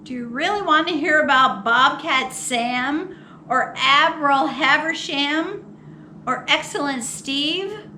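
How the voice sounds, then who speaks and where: Casting: female children's storytime reader, high-pitched and unhurried, theatrical delivery, faint noise behind. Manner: expressive, theatrical, with mock exasperation and drawn-out words. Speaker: storytime host at a public library. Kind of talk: animated read-aloud of a children's picture book.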